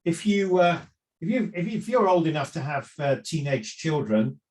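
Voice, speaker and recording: A man's voice: a little high, expressive, normal speed, darkish tone. A middle-aged man giving a seminar talk on property investment, conversational, with frequent fillers and restarts. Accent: none Welsh. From lived in South Wales for years.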